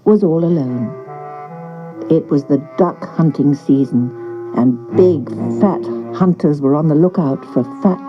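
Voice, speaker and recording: A woman narrating a scripted children's story in an expressive storyteller's style. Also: low-pitched, a little slow, dull audio, music in the background.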